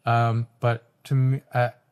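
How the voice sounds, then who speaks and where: A young man from Canada, a guest artist, talking in a relaxed, reflective way with frequent ums. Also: medium pitch, takes it slowly, clean tone. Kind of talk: casual interview talk.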